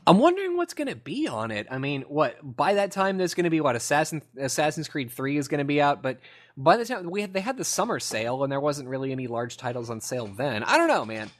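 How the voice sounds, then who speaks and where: A man's voice: fairly high pitch, big pitch swings, fast. A man hosting a casual gaming podcast, explaining things in a rambling, enthusiastic way. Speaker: podcast host.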